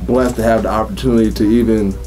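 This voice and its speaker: A man's voice: fairly low pitch, level tone, fast talker, music in the background. A man in his thirties hosting an interview in a studio, asking questions in a hesitant, stammering way with many fillers. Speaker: interview host. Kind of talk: casual interview. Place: small recording studio room.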